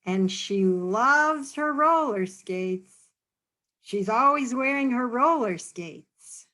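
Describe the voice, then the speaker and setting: A woman's voice: a little high, lively intonation, takes it slowly, sharp tone. A woman reading a picture book aloud to children, stopping to ask questions and explain in a teacherly, encouraging way. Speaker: read-aloud storyteller for children.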